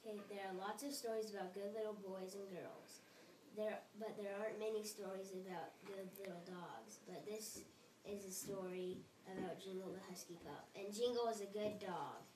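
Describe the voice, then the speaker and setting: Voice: mid-range voice, fairly even intonation, moderate pace, a little mellow, reverberant, recorded from a distance, rough recording. A young reader reading a children's bedtime story aloud.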